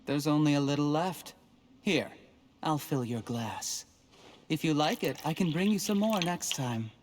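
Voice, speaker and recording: An actor playing a cold, ambitious young official in a game cutscene, speaking in a calm, smug, measured way. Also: male voice, on the high side. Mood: polite.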